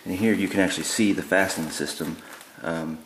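A man giving a relaxed, plain-spoken DIY walkthrough in a garage, with lots of ums and uhs, recorded on a handheld camera. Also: low-pitched, fairly quick, roomy sound, a little hiss or hum, rough recording. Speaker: DIY builder showing his work. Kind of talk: casual how-to walkthrough. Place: indoor garage.

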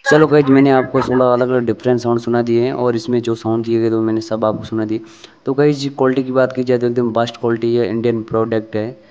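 A man's voice: mid-range voice, level tone, quick pace, darkish tone, highs cut off, slight background noise. An Indian man narrating an unboxing and product demonstration, explaining informally step by step and repeating himself as he addresses viewers. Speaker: product review vlogger.

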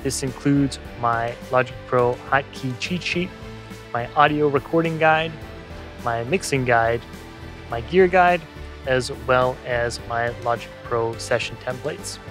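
A man in his thirties giving a casual software tutorial as a music production tutor, speaking into a close studio microphone. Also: medium pitch, unhurried. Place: small indoor home studio. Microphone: close studio microphone on boom arm.

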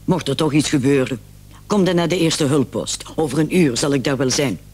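A man playing a brisk, condescending emergency doctor on night duty in a vintage radio play. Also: fairly high pitch, a little mellow, lightly acted. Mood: brisk, reassuring. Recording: old radio recording with clear hiss.

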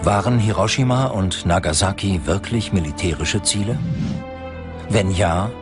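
Low-pitched man narrating over loud music. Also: moderate pace. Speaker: documentary narrator. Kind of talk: scripted documentary narration.

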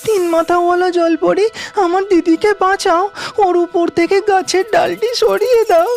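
Very high-pitched young snake boy, woman acting. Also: fairly even intonation, moderate pace, vocal fry, darkish tone, faint music behind. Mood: pleading. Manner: earnest, urgent pleading. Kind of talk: cartoon fairy tale voice acting.

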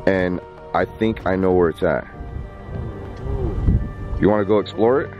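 A man in his thirties hosts a casual vlog, talking in a loose, bantering way. He speaks into a camera held at arm's length. Loud music plays along with his voice. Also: low voice, unhurried, lo-fi sound. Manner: informal, bantering, slangy. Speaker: vlog host. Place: outdoors on open rural roadside, sometimes in a car. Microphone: handheld camera at arm's length.

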